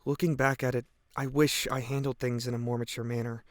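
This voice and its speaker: A man's voice: medium pitch, flat delivery, a little fast, no room sound, studio quality, close to an everyday voice. A man acting a guilt-ridden, earnest teenage boy giving hesitant, reflective testimony in an audio drama. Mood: weary, guilty. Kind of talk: audio drama monologue, police interview testimony.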